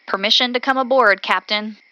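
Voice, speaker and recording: A woman's voice: on the high side, fairly quick, sharp tone, slight background noise, rough recording. A woman acting as an earnest, observant radio reporter who narrates events into her recorder in an audio drama. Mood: calm, reflective. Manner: descriptive, observant, speaking into a recorder.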